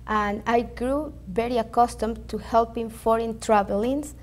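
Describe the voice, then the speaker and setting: A woman from Venezuela with a Venezuelan Spanish accent, answering interview questions carefully and haltingly. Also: on the high side, steady tone. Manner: careful, halting, with fillers and restarts. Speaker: real estate agent guest.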